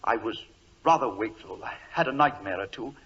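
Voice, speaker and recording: A man playing an anxious, excitable English government clerk in a 1940s radio drama, overwrought and stammering, with a British accent. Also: medium pitch, fairly quick, natural voice. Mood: earnest, nervous. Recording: dull, lo-fi old radio recording.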